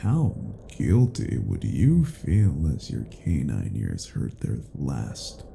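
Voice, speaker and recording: A man playing a cruel, haughty vampire in a dramatic roleplay, speaking in a deliberate, menacing and condescending way. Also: a bass voice, wildly swooping pitch, gravelly, mellow, slight background noise, exaggerated voice. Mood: cruel, threatening. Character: cruel, haughty male vampire toying with his captive. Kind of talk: dramatic roleplay audio acting.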